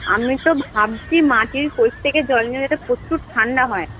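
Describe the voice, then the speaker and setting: A woman in a casual phone conversation, answering a prank caller with curt, sceptical, sharp retorts. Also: sits high, normal speed, a faint crowd. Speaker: recipient of a prank call. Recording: muffled, noisy phone call recording.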